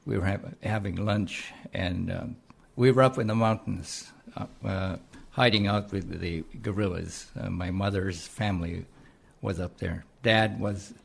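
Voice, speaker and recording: A man in his seventies from the Philippines, with a Philippine accent, speaking haltingly as an interview guest. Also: low voice, steady tone, creaky, slightly rough. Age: 70s. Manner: hesitant, halting with many pauses. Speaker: interview guest, retired army colonel. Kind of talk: conversational interview.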